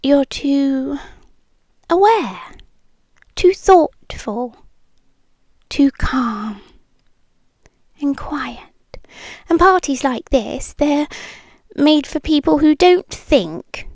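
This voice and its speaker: A possessive, doting British girlfriend, acted by a woman, murmurs in a high, breathy voice that often slips into whispers. She coaxes very slowly, lingering between phrases with soothing, clingy tenderness. It is an intimate ASMR roleplay, close and dry on the mic. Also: lively intonation, darkish tone, dry recording, exaggerated voice. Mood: soothing, protective. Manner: slow, lingering, coaxing delivery with pauses. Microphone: close microphone, dry.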